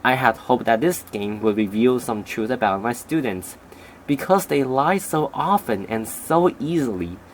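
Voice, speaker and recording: A man's voice: mid-range voice, unhurried, a little hiss or hum. Young man reciting a speech. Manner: steady recited delivery with a few slips. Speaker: student giving a speech recitation. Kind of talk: reading a speech aloud.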